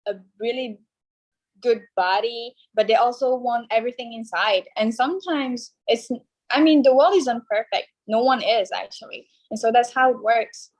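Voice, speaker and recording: A young woman with a slightly high voice speaks over a video call. She talks in a relaxed, chatty way, with plenty of fillers, and Cambodian is her first language. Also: moderate pace, low-quality recording. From France. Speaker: pageant contestant.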